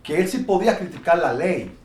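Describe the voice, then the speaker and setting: Animated Dominican man preaching in an echoey room. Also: fairly high pitch, brisk. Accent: Dominican Spanish. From Dominican Republic. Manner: animated, preachy, restarts often. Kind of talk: informal Bible discussion. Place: New York. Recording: echoey room, faint noise.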